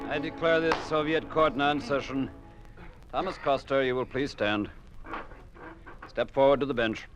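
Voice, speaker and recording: An actor playing a stern, coercive judge at a show trial in an old radio drama, with a formal, commanding delivery. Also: male voice, medium pitch, fairly even intonation, moderate pace, music in the background, natural voice. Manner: formal, commanding, declamatory in court. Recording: noisy old radio recording.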